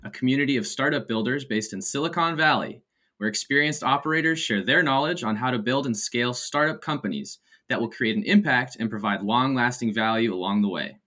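A man's voice: mid-range voice, fairly quick, sharp tone, soft background music. A man hosting a startup podcast, who asks his questions in a casual way. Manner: friendly, informal questions. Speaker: podcast host. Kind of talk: podcast interview.

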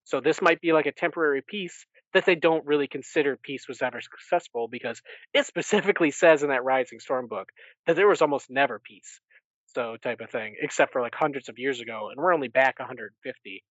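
A man's voice: mid-range voice, fairly quick, a bit bright, no echo. Enthusiastic, rambling man, dry slightly dull recording. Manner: rambling, enthusiastic retelling with acted-out asides. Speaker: podcast host. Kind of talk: casual book recap discussion.

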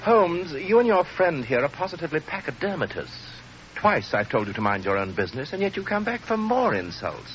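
A pompous, swooping, sardonic aristocrat. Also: male voice, fairly high pitch, sing-song, fairly quick, a bit bright, soft background music. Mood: haughty, scornful. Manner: pompous, florid, sneering diction. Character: arrogant, sardonic aristocratic man, theatrical and insulting. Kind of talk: old radio drama acting. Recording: muffled old radio recording, faint noise.

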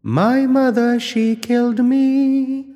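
An eerie high singing spirit bird. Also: male voice, steady tone, dark tone, big-room sound. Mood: eerie chanting. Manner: slow chanting singsong.

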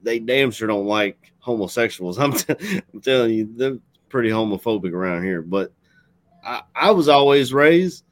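A man's voice: mid-range voice, lively intonation, normal speed, no room sound. A man with a Kentucky accent hosting a casual talk show, speaking earnestly and in a rambling way. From Kentucky, USA. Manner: earnest, rambling, frequent "you know what I'm saying". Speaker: show host. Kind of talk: casual podcast chat.

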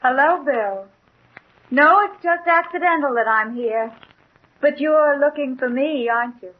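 High, soft mysterious young woman. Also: unhurried. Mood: calm, regretful. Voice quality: soft, honeyed. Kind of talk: 1940s radio drama acting. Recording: dull, hissy 1940s radio recording.

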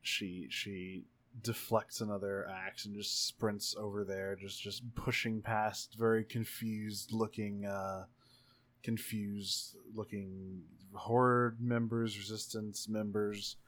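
Lowish-voiced man improvising game narration, unhurried. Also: little pitch movement, a bit bright. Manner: halting, searching for words as he improvises. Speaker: tabletop game player.

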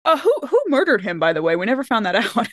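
A woman's voice: medium pitch, fast, no echo, clean studio sound. A woman chatting casually and expressively as a player on a podcast panel. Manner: chatty, expressive, quotes her own reactions. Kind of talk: casual chat.

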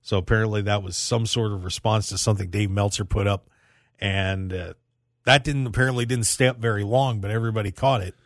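A man hosting a casual sports talk radio show in an animated, emphatic way with dramatic pauses. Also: on the low side, dry recording, studio quality. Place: radio studio.

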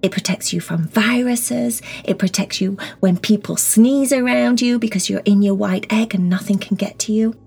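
A woman leads a guided meditation for children, speaking softly and breathily, often close to a whisper, in a gentle, reassuring way with simple words. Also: medium pitch, gravelly, breathy edge. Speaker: guided meditation teacher and host. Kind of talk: children's guided meditation, slow soothing instruction. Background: soft background music.

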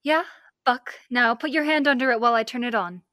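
A woman's voice: fairly high pitch, brisk, pushed and bright, no room sound, clean studio sound. A woman acting a firm, caring space lieutenant in an old-time radio drama.

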